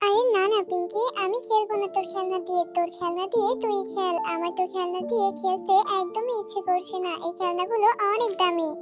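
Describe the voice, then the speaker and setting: A woman's voice: extremely high pitch, fairly even intonation, fast talker, few pauses. A woman playing an earnest, pleading little village girl in a children's cartoon. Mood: reluctant. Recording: music behind the voice.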